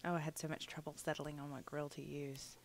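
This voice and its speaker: A woman's voice: sits low, flat delivery, brisk, slight background noise. A young adult woman giving a rambling, casual voiceover for a building game, a little sniffly, with lots of fillers. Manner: rambling, chatty, many fillers. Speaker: gaming channel host.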